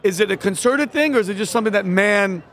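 A man in his forties with a very high voice co-hosts a casual chat, working his way through questions with halting restarts. He speaks into a desk podcast mic at a booth in a large convention hall. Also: lively intonation, a bit bright. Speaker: podcast co-host.

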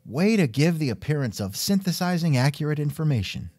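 Wry male host, very lively delivery. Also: on the high side, brisk, husky, dry recording, studio quality. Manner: wry, joking. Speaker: podcast host and interviewer. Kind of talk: scripted comedic interview.

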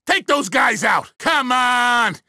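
Cranky older spy boss, game character, raised sharp voice, animated, bossy. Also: male voice, very high-pitched, slow, no room sound, studio quality, exaggerated voice. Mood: urgent barking. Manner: grumbling, barking orders. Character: irritable, bossy older male intelligence official. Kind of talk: video game character dialogue.

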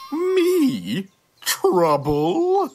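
A woman's voice: high voice, huge pitch swings, very slow, over music, heavily acted. A woman playing a sly, pompous, mischievous chaos creature in a fan cartoon, drawling with mock grandeur and sarcasm. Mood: mock innocent.

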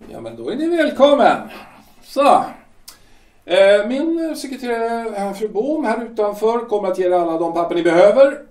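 A man playing a pompous shipyard director in a radio play, lecturing in a condescending, halting way. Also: sits high, big pitch swings, a little mellow. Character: pompous middle-aged male shipyard director, party loyalist. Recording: echoey room, distant mic.

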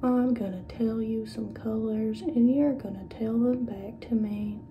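A woman doing an ASMR roleplay as a clinical examiner, speaking softly, often in whispers, and giving short, playful instructions. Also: on the high side, steady tone, unhurried. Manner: soft-spoken, often dropping into whispers. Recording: dull lo-fi audio with clear background noise.

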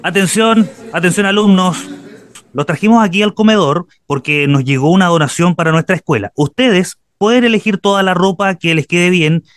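A man's voice: sits high, normal speed, no echo, close to an everyday voice. A man playing a stern, impatient schoolteacher who commands and scolds pupils in a radio drama. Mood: brisk, instructing.

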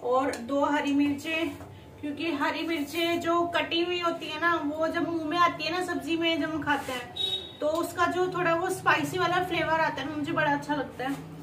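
A woman's voice: sits high, a bit bright, slight background noise. A young woman in her twenties chatting to camera in a casual home vlog while cooking. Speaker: vlogger. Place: small home kitchen and living room indoors.

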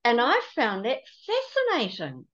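A New woman in her sixties hosts a reflective conversation over a video call, talking slowly and encouragingly. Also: fairly high pitch, wildly swooping pitch, crowd noise. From New Zealand. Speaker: podcast host. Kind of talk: unhurried spiritual conversation. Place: home room with patterned screen. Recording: dull lo-fi video call audio.

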